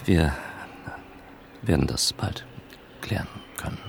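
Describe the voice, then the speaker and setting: A man acting in a German radio drama as a polite, fussy, aggrieved arts administrator, halting but expressive. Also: very deep voice, gravelly, a little hiss or hum, full character voice. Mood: flustered.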